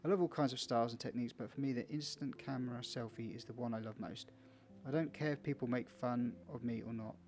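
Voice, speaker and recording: A man's voice: medium pitch, quick pace, hardly any pauses, a little mellow, slight background noise. A man playing a video game for viewers, casually reading its text aloud with small stumbles and chatty asides. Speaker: video game let's player.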